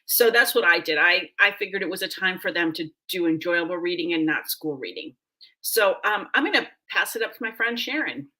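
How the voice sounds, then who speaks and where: A woman's voice: fairly low pitch, animated, brisk, sharp tone, low-quality recording. A middle-aged woman speaking casually as a panelist, telling a personal anecdote.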